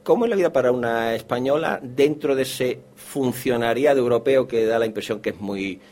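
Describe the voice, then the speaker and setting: A man's voice: medium pitch, fairly quick, a little mellow. A man hosting a radio interview, asking long, winding questions that trail off.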